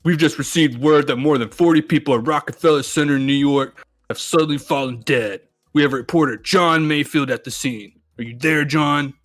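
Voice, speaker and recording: A man's voice: fairly high pitch, normal speed, soft background music, close to an everyday voice. A man playing a solemn, urgent television news anchor reading emergency bulletins from a comic. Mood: grave.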